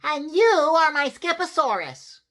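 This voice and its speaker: A woman puts on a squeaky, hyper little kitten boy from a storybook. He is boastful and theatrical, stretching out his exclamations and swooping wildly up and down. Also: very animated, fairly bright tone, full character voice. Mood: proud, eager. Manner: exclamatory, theatrical, drawn-out words.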